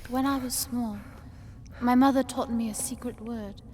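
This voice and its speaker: A woman voicing a tender, brave young princess in gentle, earnest video game cutscene acting. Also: fairly high pitch, fairly even intonation, normal speed, close to an everyday voice. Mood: confiding. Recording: faint noisy game ambience behind.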